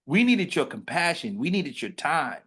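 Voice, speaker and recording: A man in his late thirties hosting a casual interview, animated and preachy, dropping to a hush between loud bursts. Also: fairly high pitch, normal speed, breathy edge. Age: 30s. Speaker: podcast host.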